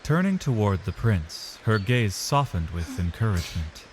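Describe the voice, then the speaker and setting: A man's voice: lowish voice, big pitch swings, moderate pace, noisy background. A man narrating a fantasy story in a dramatic, solemn, cinematic scripted style.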